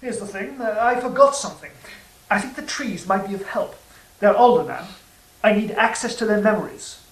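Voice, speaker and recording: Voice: mid-range voice, expressive, moderate pace, lightly acted. An actor playing the Serpent of Eden in an audio drama, sly, sardonic and insistent, with a smug, needling manner. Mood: businesslike. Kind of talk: stage-style audio drama acting. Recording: roomy, distant from the mic.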